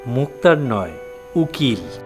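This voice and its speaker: A man playing a gentle, educated young husband, a lawyer who speaks patiently and probingly in an audio drama. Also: medium pitch, very animated, slow, soft background music. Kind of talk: Bengali audio drama acting.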